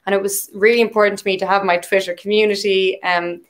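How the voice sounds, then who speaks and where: A woman's voice: medium pitch, flat delivery, fast. An Irish woman, a poet, chatting in a casual interview and filling her talk with "like" and "um". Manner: chatty, with frequent "like" and "um" fillers. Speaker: poet and guest.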